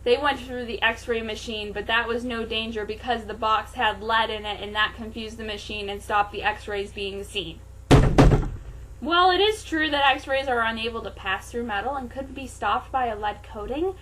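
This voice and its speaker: A woman's voice: a little high, moderate pace, heavy background noise. A woman reading fan fiction aloud as a host, in a theatrical, comic style with sarcastic asides.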